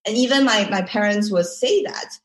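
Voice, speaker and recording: A woman from Taiwan talks as an interview guest, thoughtful and unhurried, often repeating a phrase to press her point. She laughs softly now and then between thoughts. Her voice sits at an ordinary pitch, and the room around her rings with a noticeable echo. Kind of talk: casual interview conversation. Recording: roomy echo.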